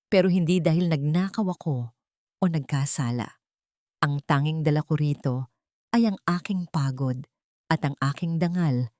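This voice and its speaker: A woman voicing a humble, hurt young cleaning woman in an audio drama, speaking softly and clearly with dignity. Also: low-pitched, dry recording, clean studio sound, lightly acted.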